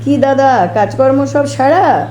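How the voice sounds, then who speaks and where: Lady doing a gossipy complaining househusband from an audio story, mellow n echoey, chatty over the balcony. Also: high voice, takes it slowly, voice pushed up. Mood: chatty grumbling. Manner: conspiratorial, complaining, colloquial. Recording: roomy echo, faint background noise.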